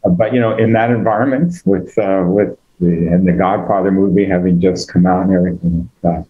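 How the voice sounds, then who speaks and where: Older American man, very low dark voice, halting storyteller, muffled call audio. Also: fairly even intonation. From United States. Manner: hesitant, many fillers and restarts. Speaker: guest speaker. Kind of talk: conversational personal story. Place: online video call.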